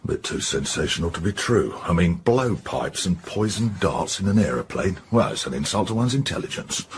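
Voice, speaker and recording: An actor playing a brisk, sceptical English police inspector, businesslike and colloquial as he questions people in a radio drama. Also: low-pitched, sing-song, a little hiss or hum.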